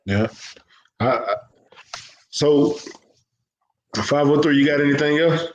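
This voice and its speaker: A man hosting an interview show, asking questions in a relaxed, casual way with fillers. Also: on the high side, slow pace, echoey room, highs cut off. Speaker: host.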